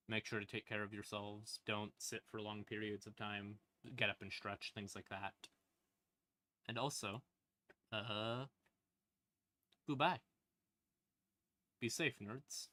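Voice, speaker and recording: A man's voice: on the low side, sharp tone. A man hosting a casual livestream, talking informally in a rambling way with hesitant, trailing asides. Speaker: streamer hosting a lore question-and-answer stream. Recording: ambient horror music playing behind. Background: creepy ambient backing music.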